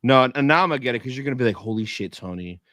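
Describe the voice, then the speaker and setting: A man's voice: medium pitch, animated, racing, a bit bright, no room sound, clean studio sound. A man co-hosting a casual livestream, chatting in a blunt, slangy way with casual swearing. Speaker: livestream co-host.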